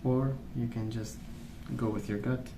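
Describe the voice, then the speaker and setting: A man speaking softly in a hushed, unhurried ASMR ramble, musing as he goes. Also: medium pitch, steady tone, reverberant, background noise. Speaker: ASMR video host. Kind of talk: soft-spoken ASMR rambling while colouring.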